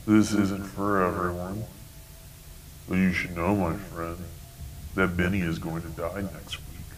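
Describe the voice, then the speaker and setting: A man playing a sinister, taunting entity that lives in television static, speaking in a calmly menacing, mocking manner in an analog horror drama. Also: sits low, unhurried, poor audio. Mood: coldly persuasive. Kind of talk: analog horror scripted dialogue.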